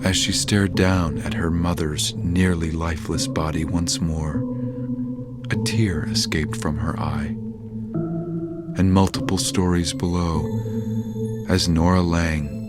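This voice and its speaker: A man giving solemn, measured scripted narration for an audio drama. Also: low-pitched. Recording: loud music behind the voice.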